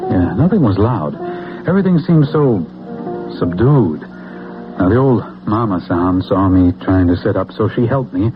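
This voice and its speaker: A man's voice: fairly low pitch, lively intonation, muffled recording. An earnest American soldier in an old radio drama. He talks in a hesitant, reflective way, as if still dazed by a brush with death. The audio is phone-thin, with music underneath. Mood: reflective, wondering. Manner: halting, hesitant, reflective storytelling.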